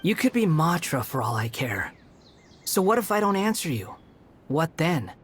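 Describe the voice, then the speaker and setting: A man's voice: a little high, very animated, moderate pace, a little hiss or hum. A sardonic hat-wearing young man. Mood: taunting. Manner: mocking, dismissive, evasive. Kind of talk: video game cutscene dialogue.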